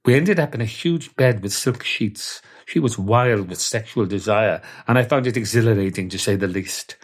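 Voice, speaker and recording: Welsh guy telling a long comic story deadpan, kinda low voice. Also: normal speed, slightly rough. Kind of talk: comic first-person storytelling.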